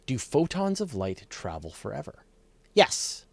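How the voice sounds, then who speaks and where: A man's voice: mid-range voice, wildly swooping pitch, measured pace, vocal fry, slightly rough, no room sound. A man hosting a live question-and-answer show, explaining science casually and off the cuff with emphatic, think-aloud delivery.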